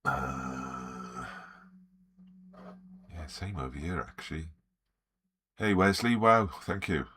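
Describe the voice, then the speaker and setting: A man with a croaky sore throat, chatting easily with live viewers and greeting them by name while he works on a drawing. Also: extremely low pitch, takes it slowly, bright, forceful tone. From Wales. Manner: easygoing, greets viewers by name, self-deprecating. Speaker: artist streaming live. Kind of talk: relaxed live-stream chat while drawing.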